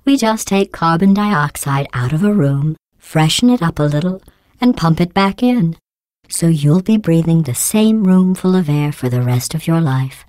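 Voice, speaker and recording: An actress voicing a cold, sarcastic female AI that runs test chambers, speaking in a calm, clipped, deadpan way with quiet menace. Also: sits low, moderate pace, dry recording. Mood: mocking. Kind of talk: video game voice clips.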